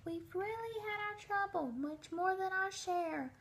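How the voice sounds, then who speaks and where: A woman voicing a tiny, scared little male mayor from a storybook in a small, soft, plaintive voice. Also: extremely high pitch, measured pace, slight background noise, pitched above the performer's own voice, full character voice. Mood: plaintive pleading.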